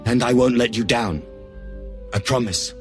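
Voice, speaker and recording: A man plays a young apprentice in an audio drama, earnest and determined. He speaks slowly at a medium pitch over light music. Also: lightly acted. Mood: grateful, earnest.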